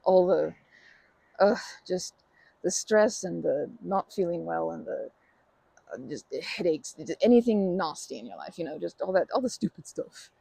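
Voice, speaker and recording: A woman playing a timid, kind young woman in a comforting ASMR roleplay, with a creaky voice and a hesitant, stammering, apologetic way of talking. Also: on the low side, lively intonation, moderate pace, warm tone, no room sound, full character voice. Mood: eager, encouraging.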